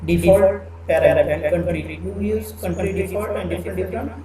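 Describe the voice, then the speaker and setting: A man giving a programming lecture, explaining step by step with halting phrasing and repeated fillers. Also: high voice, crowd noise. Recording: echoey room with background noise.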